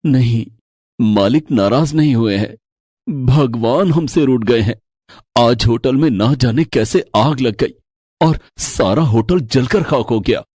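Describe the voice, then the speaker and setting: A man's voice: medium pitch, slow, mellow, husky, no room sound, studio quality. A man playing a poor, loving working father in a moral story, speaking tenderly, plainly and earnestly. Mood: troubled, sad. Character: poor, loving father, a troubled hotel waiter. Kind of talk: Hindi moral story voice acting.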